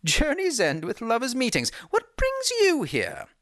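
A reader voicing a brilliant, excitable male detective in a Victorian audiobook, in a raised, quick, exclaiming voice. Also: mid-range voice, no echo, clean studio sound, pitched above the performer's own voice, full character voice. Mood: brisk. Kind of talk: audiobook character reading.

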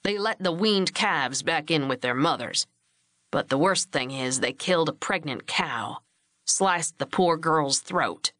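A woman's voice: deep voice, expressive, unhurried, a bit bright, no room sound, clean studio sound, pitched below the performer's own voice. A woman voicing an older male ranch patriarch in a storybook, scheming and firm with easy authority. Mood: commanding.

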